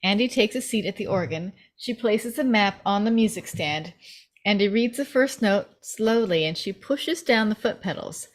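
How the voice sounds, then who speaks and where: A woman's voice: medium pitch, lively intonation, moderate pace. A woman reading stage directions as narrator in a scripted table read.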